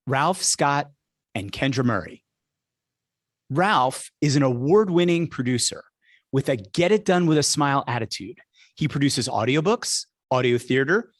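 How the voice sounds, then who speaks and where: A man's voice: medium pitch, no room sound, clean studio sound. A man hosting a podcast, delivering scripted introductions and interview questions.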